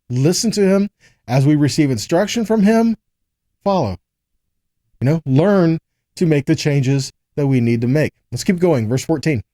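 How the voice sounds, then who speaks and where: Mellow American man preaching, fairly high voice. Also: dry recording, studio quality. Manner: rhetorical questions and frequent check-ins with listeners. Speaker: preacher teaching a scripture lesson. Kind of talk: conversational sermon with scripture readings.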